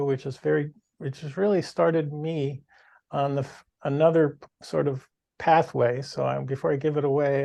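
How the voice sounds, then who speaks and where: A man's voice: mid-range voice, gravelly, warm tone, dry recording. A man, casually moderating a discussion as host.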